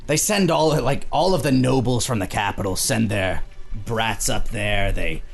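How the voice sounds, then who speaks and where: A man's voice: on the low side, measured pace, gravelly, faint music behind. A man voicing a smug, dismissive soldier in a tabletop game, with an offhand, sardonic drawl.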